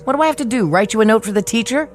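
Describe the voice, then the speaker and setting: An actress playing a caring army nurse, teasing and no-nonsense, in a radio drama. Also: female voice, on the high side, expressive, racing, close to an everyday voice. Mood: brisk concern. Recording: dramatic music behind the voice.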